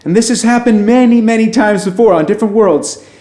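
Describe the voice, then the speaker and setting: A man's voice: high voice, fairly quick, warm tone, lightly acted. A man playing an affable, nervous alien who poses as human, a pacifist pessimist speaking in wry, rambling, conversational asides in an audio drama. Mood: nervous, earnest. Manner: informal, rambling, self-interrupting, wry asides. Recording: roomy echo, music underneath.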